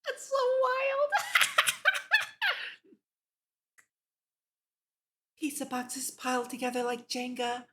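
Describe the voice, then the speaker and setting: A woman with a high, bright voice hosts a livestream at an unhurried pace. She moves between reading aloud and casual chat, expressive and prone to giggles. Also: a little hiss or hum. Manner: giggly, expressive, exclaims in reaction to the text. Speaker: VTuber streamer hosting a visual novel read-along.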